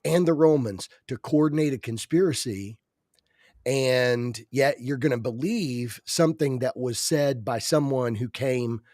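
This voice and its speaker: A man's voice: medium pitch, a little slow, a little mellow, no room sound, studio quality. Stuffy-nosed man arguing earnestly. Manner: many restarts, earnest arguing. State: stuffy nose. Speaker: podcast co-host. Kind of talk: casual religious discussion.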